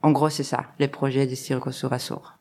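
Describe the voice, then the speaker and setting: A woman's voice: very deep voice, flat delivery, moderate pace, darkish tone, slight background noise. A woman from France, the artistic co-director, answering interview questions casually with lots of hesitant fillers. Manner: hesitant, many fillers, laughs easily.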